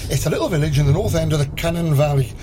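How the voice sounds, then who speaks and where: A man's voice: a little high, very fast, noisy background, close to an everyday voice. A man with a Welsh accent playing a jokey, rambling police officer in a radio comedy. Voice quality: chirpy, teasing, pleased with his own puns. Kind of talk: radio sitcom acting.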